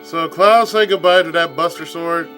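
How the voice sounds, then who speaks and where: A hoarse man giving chatty, casual let's play commentary and clearing his throat often. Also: on the high side, moderate pace, pushed and bright. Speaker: let's player. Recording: game music playing behind.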